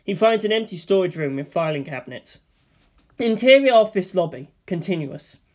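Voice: medium pitch, animated, moderate pace, darkish tone. Screenplay narrator reading stage directions. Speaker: script narrator.

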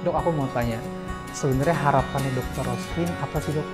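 A man's voice: medium pitch, fast talker. Chatty young man touring a clinic. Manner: chatty, upbeat, ends lines with tag questions. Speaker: host. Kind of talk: casual guided tour chat. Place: indoor hospital clinic. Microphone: lapel mic. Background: soft background music.

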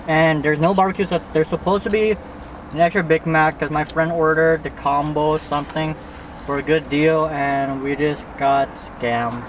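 A man's voice: fairly high pitch, a little hiss or hum, low-quality recording. A man talking casually to the camera as a vlogger, in an informal conversational way. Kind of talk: casual vlog chat. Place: inside a parked car and indoor mall.

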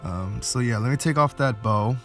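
A man's voice: mid-range voice, normal speed, a bit bright. A man giving a casual, explanatory game walkthrough, thinking aloud as he goes. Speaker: gaming video host. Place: indoors, voice-over on game footage. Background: game music.

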